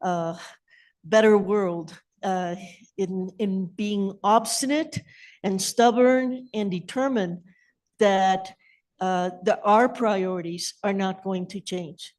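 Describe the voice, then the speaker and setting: A woman speaking as a panelist, giving unscripted closing remarks in a halting but determined way. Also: mid-range voice, steady tone, a little mellow. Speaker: panelist with a doctorate.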